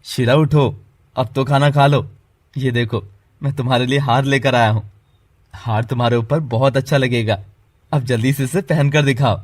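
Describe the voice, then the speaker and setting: A man playing a poor, hardworking, devoted husband in an animated moral story, earnest and pleading. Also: medium pitch, moderate pace, natural voice. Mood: coaxing.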